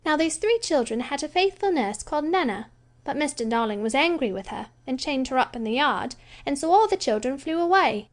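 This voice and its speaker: Brief: woman voicing a bright-voiced girl storyteller, motherly, dramatic, sing-song. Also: sits high, expressive, fairly quick, voice pushed up. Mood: storytelling. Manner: theatrical, sing-song telling.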